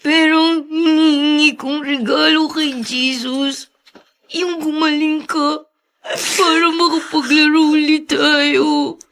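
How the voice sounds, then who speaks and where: A woman's voice: high-pitched, little pitch movement, takes it slowly, a bit bright, full character voice. A woman playing an earnest, devoted little boy in a radio drama, with a childlike, pleading manner. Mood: tearful, hopeful. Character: earnest, devoted little boy comforting his sick playmate.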